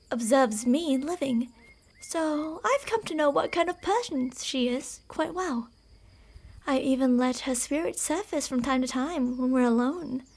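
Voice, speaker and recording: A woman voicing an obsessive female fox spirit in a borrowed human body, speaking in a calm, formal, quietly manipulative way that dips into whispers. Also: sits high. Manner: soft-spoken, dipping into whispers. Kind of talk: ASMR roleplay.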